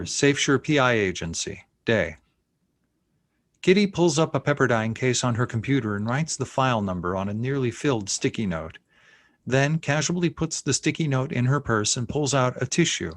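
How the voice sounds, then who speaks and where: A man's voice: mid-range voice, animated, moderate pace, no echo, clean studio sound. A man reading scene headings and action lines as narrator of a screenplay table read. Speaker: narrator reading stage directions.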